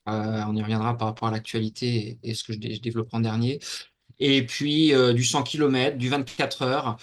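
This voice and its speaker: Interview guest, man in his forties from Normandy, even flat delivery, steady and detailed. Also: mid-range voice, brisk. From Normandy, France. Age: 40s. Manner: steady, detailed account with frequent fillers. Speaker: guest, athlete and mental coach. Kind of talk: casual interview talk.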